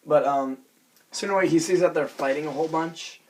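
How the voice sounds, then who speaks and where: A hoarse young man with a raspy voice, rambling casually through a self-conscious vlog with many fillers and restarts. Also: a little high, brisk, low-quality recording. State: hoarse from screaming. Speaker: vlogger reviewing a cartoon episode.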